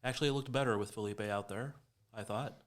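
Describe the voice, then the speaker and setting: A man's voice: on the low side, quick pace. A man talking casually as a panelist on a sports podcast. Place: in person around a table with several mics.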